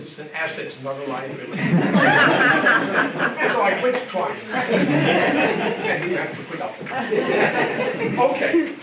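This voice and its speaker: An older man from Germany with a German accent, giving a church lecture in a conversational way, often repeating phrases and asking the room "okay?". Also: high-pitched. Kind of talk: church adult education lecture. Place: church hall. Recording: very echoey hall, distant mic, background noise.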